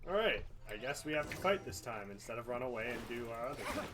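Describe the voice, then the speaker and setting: A woman's voice: extremely low pitch, animated, quick pace, heavy background noise. A woman doing casual, slangy live gameplay commentary as a game streamer. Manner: slangy, swearing.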